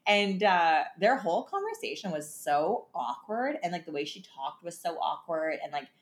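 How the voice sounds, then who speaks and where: A young woman with a deeper, husky voice, talking bluntly in a casual podcast chat and laughing often. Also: big pitch swings, moderate pace. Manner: blunt, laughs often, uses mild profanity. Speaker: podcast co-host. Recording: echoey room.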